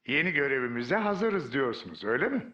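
A man's voice: mid-range voice, big pitch swings, a little fast, sharp tone, muffled recording. A man voicing a kindly, encouraging, wise old tree who mentors children in a cartoon. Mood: welcoming. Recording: music behind the voice.

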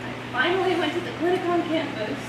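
A woman's voice: very high-pitched, quick pace. A young woman acting a distressed college student in a theatrical stage monologue. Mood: anxious. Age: young adult. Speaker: stage actress. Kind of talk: theatrical monologue before a live audience. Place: small stage before a seated audience. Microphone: far camera. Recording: echoey noisy stage, distant camera microphone.

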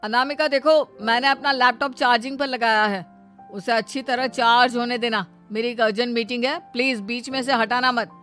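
Lady doing a fed-up young husband in a cartoon, complaining, forceful, bit high. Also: fairly even intonation, moderate pace, faint music behind, close to an everyday voice. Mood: instructing, annoyed. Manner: reproachful, complaining. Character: exasperated young husband, an office worker, quick to blame. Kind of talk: animated family drama voice acting.

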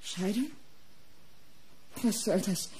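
A devoted, guarded young woman in a radio crime drama. Her voice is dark and veiled with breath, at a medium pitch. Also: animated, extremely slow, husky, exaggerated voice. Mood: guarded, pained.

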